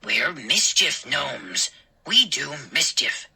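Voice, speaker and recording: A woman voicing a mischievous male gnome with a raspy voice who speaks softly. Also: big pitch swings, slow pace, heavily acted. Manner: soft, low.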